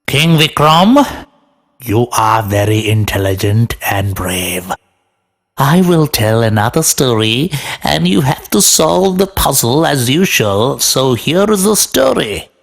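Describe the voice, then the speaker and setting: A man voicing a cunning riddling ghost in a children's cartoon, with a creaky voice and a theatrical, taunting manner. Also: mid-range voice, sing-song, slow pace, heavily acted. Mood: sly, challenging.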